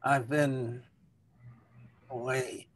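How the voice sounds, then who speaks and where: A man's voice: medium pitch, level tone, extremely slow, slight background noise. A man voicing an earnest, grandiloquent dog in a solemn, theatrical story reading. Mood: earnest, confessional. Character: earnest, grandiloquent male pet dog confessing his wildness. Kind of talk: dramatic literary story reading.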